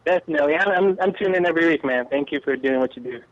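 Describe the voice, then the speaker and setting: A man's voice: fairly high pitch, rapid-fire, dry recording. A man calls into a radio show over a dry, tinny phone line. He sounds friendly and eager, like a regular listener. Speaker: caller. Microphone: phone call.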